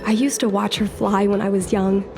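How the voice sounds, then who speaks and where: A woman's voice: medium pitch, normal speed, natural voice. A woman playing a spirited, earnest young fox-woman who dreams of piloting, in a video game. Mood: proud, wistful. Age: young adult. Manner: perky, expressive, earnest. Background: music.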